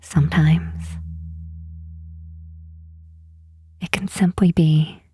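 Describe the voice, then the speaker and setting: A woman playing a gentle, wistful demon queen in a soft ASMR roleplay, speaking slowly and tenderly in a breathy, hushed voice. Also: low voice, fairly even intonation. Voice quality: breathy, whispery. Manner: unhurried, hushed, tenderly reassuring.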